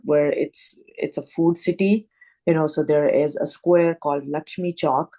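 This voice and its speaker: A woman introduces her restaurant over a video call, her voice low and gravelly. She talks in halting bursts and leans heavily on "you know" and "um" as she goes. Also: dark tone. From Pakistan. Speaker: restaurant owner. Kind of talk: casual presentation on a video call.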